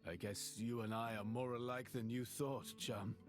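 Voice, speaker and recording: A man's voice: mid-range voice, steady tone, moderate pace, faint music behind, lightly acted. A man playing an affable, easygoing adventurer in a video game cutscene. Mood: explaining.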